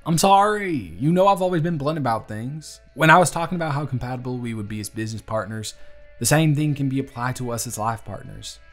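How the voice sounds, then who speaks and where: An earnest, charming young bartender, acted by a man, speaks with a Southern US accent in a medium-pitched male voice. He is blunt yet sweet-talking, as in an intimate romantic audio drama. Music plays beneath his words. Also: animated, brisk, lightly acted. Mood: nervous, confessing. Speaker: audio roleplay performer. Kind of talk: intimate audio roleplay acting. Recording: music playing under the voice. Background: acoustic music.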